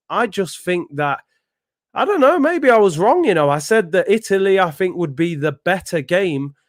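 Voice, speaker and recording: Slangy man, high and dry. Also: dry recording. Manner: slangy, chatty, bursts into excited shouting at big moments. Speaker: host of a football watchalong stream. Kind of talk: live football watchalong chat and commentary.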